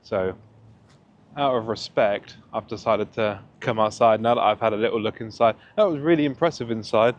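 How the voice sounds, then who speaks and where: A young man with a deep voice, chatting casually in a rambling way as he vlogs a walk outdoors through a town. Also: normal speed, lo-fi sound. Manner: relaxed, rambling, many fillers. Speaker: travel vlogger. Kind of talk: casual walking vlog. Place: outdoors in a town square and park.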